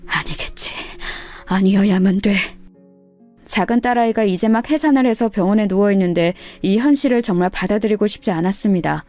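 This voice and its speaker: Woman reading out a personal story like radio, normal pitch, bit of music behind. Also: fast talker, hardly any pauses, mellow, dry recording. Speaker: narrator. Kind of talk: scripted first-person story narration.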